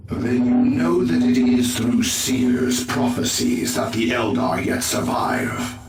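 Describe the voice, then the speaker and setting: Sci-fi game elf seer-commander: grave, formal declamation, mid pitch, set back in a roomy space. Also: male voice, expressive, slow pace, slight background noise. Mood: solemn, defensive. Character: solemn alien elf seer-commander of an ancient race. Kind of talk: video game cutscene acting. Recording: roomy, distant voice over game music.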